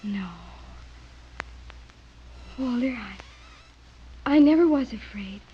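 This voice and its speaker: An actress playing a timid, infatuated young woman with a breathy, hesitant delivery in old-time radio horror style. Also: fairly high pitch, lively intonation, a little hiss or hum. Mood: nervous, confessing. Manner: hesitant, halting, nervous. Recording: muffled old radio drama recording.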